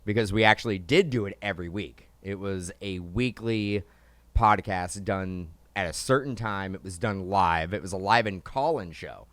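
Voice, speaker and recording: Rambling low-voiced male co-host. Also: normal speed, a bit bright, no room sound. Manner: rambling, restarts often, lots of "like" and "um". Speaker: podcast co-host. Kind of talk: casual hobby podcast chat. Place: podcast studio.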